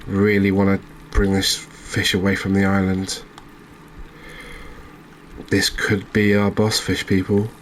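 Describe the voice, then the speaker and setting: A man with a low voice gives cozy, ASMR-style game commentary. His pitch stays very even as he rambles and muses to himself, and some light noise sits behind him. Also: takes it slowly, pauses often, low-quality recording. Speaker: gaming channel host.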